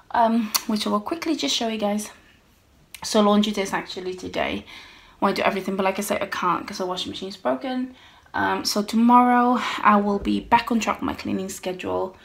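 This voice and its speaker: A woman's voice: medium pitch, gravelly. A woman in her thirties chatting casually to her phone camera at home while tidying, rambling with friendly asides to viewers. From United Kingdom. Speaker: home cleaning vlogger. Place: small home, bedroom and hallway. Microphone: handheld phone camera at arm's length.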